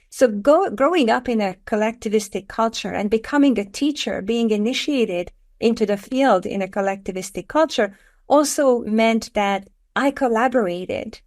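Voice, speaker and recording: A middle-aged woman from Hungary with a Hungarian accent, a guest explaining her work in a podcast interview with earnest, measured phrasing. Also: medium pitch. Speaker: guest, education author.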